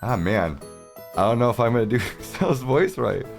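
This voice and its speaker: A man with a deep voice who casually comments while playing a video game and thinks aloud in a self-conscious, laughing way. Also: lively intonation, normal speed, creaky, soft background music. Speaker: game streamer.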